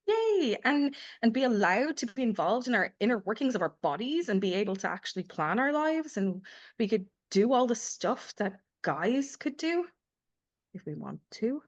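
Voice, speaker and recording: A high female voice, an actress playing a part with wide, swinging intonation. She is a sardonic, fiercely emotional mother in a drama script read, emphatic and broken in her phrasing. The audio is slightly dull and lo-fi. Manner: sarcastic, halting when upset, emphatic. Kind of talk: live screenplay table read, dramatic acting.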